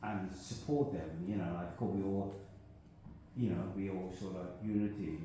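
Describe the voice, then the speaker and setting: A man's voice: low voice, moderate pace, mellow. An older man from Guyana with a Guyanese accent, reflecting in a rambling casual discussion and often asking "you know what I mean?". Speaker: discussion guest. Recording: echoey room, faint noise.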